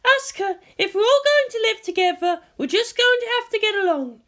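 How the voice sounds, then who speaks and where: An exasperated woman guardian from an anime-style story, acted by a woman reader. She speaks high and emphatically, insistent and bossy, yet friendly underneath. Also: expressive, brisk, a bit bright. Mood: firm, placating.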